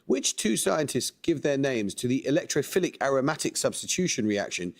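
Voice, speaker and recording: A man hosting a quiz show, reading questions and introductions with a measured, precise delivery. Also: mid-range voice, sing-song, creaky.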